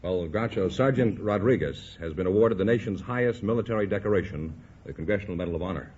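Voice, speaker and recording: Low, husky American announcer, old radio. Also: male voice, fast, darkish tone. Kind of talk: formal announcing. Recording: very muffled vintage radio recording.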